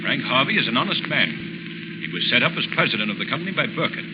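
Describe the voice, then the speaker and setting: An actor playing an assured, thoughtful gentleman sleuth in 1930s radio drama style. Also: mid-range voice, expressive, fast, lightly acted. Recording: muffled, noisy 1930s radio recording.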